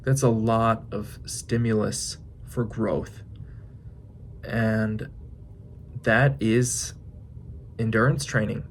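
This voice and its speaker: A man's voice: fairly low pitch, flat delivery, a little hiss or hum. Young man musing aloud in a vlog. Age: 20s. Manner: musing, thinks aloud with pauses and restarts. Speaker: fitness vlogger. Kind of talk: reflective casual vlog monologue.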